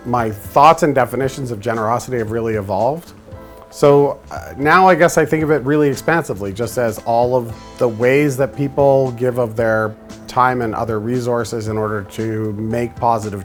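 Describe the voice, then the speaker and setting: A man's voice: medium pitch, normal speed, faint music behind. A man, a charity's data officer, speaking reflectively and articulately in an interview.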